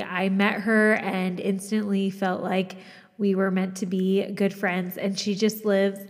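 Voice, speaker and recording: A woman hosting a podcast interview, talking in an effusive, friendly manner. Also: mid-range voice. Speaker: podcast host. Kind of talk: casual interview. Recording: long reverb.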